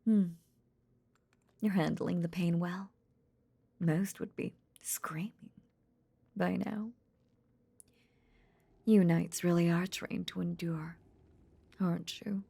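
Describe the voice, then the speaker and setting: A woman playing a possessive lamia in an intimate roleplay audio drama, tenderly nursing in a breathy, half-whispered, seductive murmur with veiled menace. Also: on the low side, fairly even intonation, warm tone, dry recording, heavily acted. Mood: soothing, caring. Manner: slow, soothing, seductive murmur.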